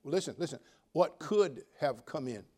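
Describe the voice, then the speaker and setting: A man preaching a sermon in a folksy, emphatic manner, swinging between loud exhortation and quieter joking asides. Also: a little high, lively intonation, moderate pace. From United States. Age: 70s. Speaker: Baptist preacher.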